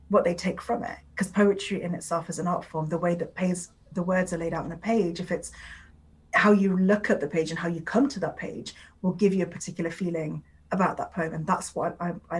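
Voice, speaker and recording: A woman's voice: on the low side, fairly even intonation, fairly quick, breathy edge, low-quality recording. A woman whose first language is Urdu, speaking as a poet in a conversational interview and giving long, rambling answers full of filler words.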